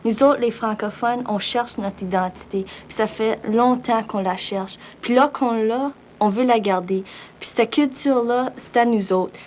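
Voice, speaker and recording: A woman's voice: a little high, measured pace, darkish tone, a little hiss or hum. A woman speaking firmly and plainly in an interview.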